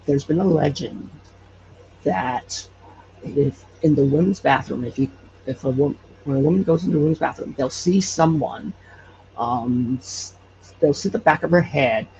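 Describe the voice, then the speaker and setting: A man from Hawaii telling stories casually as an interview guest, haltingly, with repeated false starts. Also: a little high, vocal fry, darkish tone, dull audio, a little hiss or hum. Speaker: podcast creator and guest.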